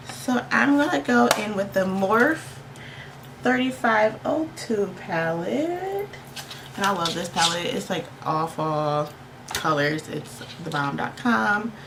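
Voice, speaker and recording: A woman's voice: medium pitch, animated, slow pace. A congested, slightly tired woman hosting a makeup tutorial, chatting casually and playfully in slang, often repeating words for emphasis. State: tired, under the weather with allergies. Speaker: beauty vlogger and makeup tutorial host. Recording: clearly noisy home recording.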